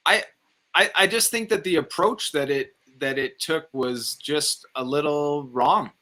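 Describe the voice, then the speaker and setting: A man's voice: on the high side, measured pace, sharp tone. A man talking casually about movies, opinionated and rambling, with some swearing. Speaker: film review show panelist.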